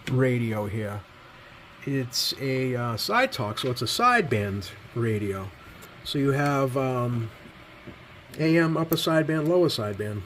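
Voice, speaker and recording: Male hobbyist presenter, mid-range voice, informal explainer delivery with hesitant phrasing, audible background noise. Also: mid-range voice. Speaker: radio repair hobbyist presenting. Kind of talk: casual explanatory repair vlog.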